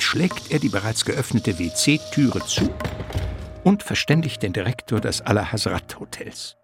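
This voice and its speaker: A male narrator tells a radio drama in a deep, creaky voice. His delivery is deliberate and literary, building suspense over prominent music.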